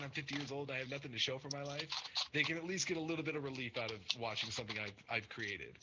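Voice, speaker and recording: A man's voice: mid-range voice, sharp tone, highs cut off. A young man hosting a casual podcast, talking earnestly and rambling with many fillers and restarts. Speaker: podcast host and Twitch streamer.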